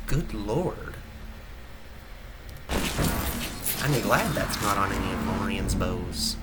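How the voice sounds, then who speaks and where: A man thinking aloud while he plays a game, a naturally quiet talker pushing his voice louder. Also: on the low side, poor audio. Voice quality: normally quiet voice, projecting louder. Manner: relaxed thinking aloud while choosing upgrades. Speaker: gameplay commentator. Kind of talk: casual gameplay commentary. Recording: loud game music behind. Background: game music.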